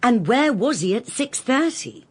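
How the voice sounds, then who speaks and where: An actress playing a forthright, sardonic private detective in a radio drama, with a blunt, dry manner. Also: female voice, fairly high pitch, very animated, a little slow, breathy edge, full character voice. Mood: dry, questioning.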